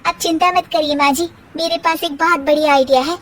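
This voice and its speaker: Woman voicing a very high, breezy young daughter-in-law. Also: fairly even intonation, a little fast, a bit bright, recorded from a distance, a little hiss or hum. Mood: helpful.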